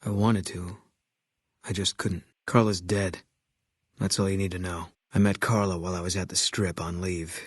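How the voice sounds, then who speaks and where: A man voicing a grim, embittered military sniper in a video game, terse and guarded, with a husky voice. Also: low-pitched, normal speed, dry recording, studio quality. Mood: bitter, subdued. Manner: terse, guarded, flat, clipped delivery. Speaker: video game companion character. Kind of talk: game voice acting, terse dialogue lines.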